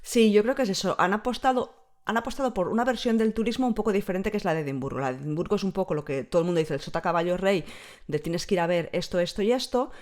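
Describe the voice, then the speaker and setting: Lively female travel blogger. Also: medium pitch, quick pace. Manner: lively, emphatic, talks at length. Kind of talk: casual travel chat.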